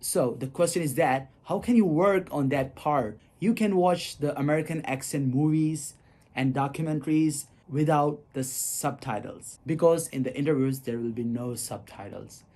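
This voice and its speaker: A man's voice: a little high, normal speed, mellow. A young man from Pakistan with a Pakistani English accent, talking to camera and giving earnest, encouraging advice to students. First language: not English. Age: young adult. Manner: earnest, encouraging, addresses viewers as you guys. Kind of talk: casual advice talk to camera.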